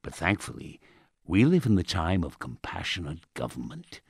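An actor playing a smug, sardonic state radio host in a dark audio drama, theatrical and menacingly polite with pompous presenter patter. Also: male voice, low-pitched, huge pitch swings, moderate pace, gravelly, husky, no room sound, studio quality, exaggerated voice. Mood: grave, sanctimonious.

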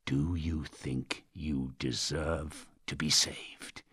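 A man acting a manipulative radio host in a dark audio drama, falsely kind, theatrical and coaxing, with a husky, gravelly voice. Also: a bass voice, steady tone, extremely slow, dry recording, clean studio sound, heavily acted. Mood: showman solemnity. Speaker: radio presenter.

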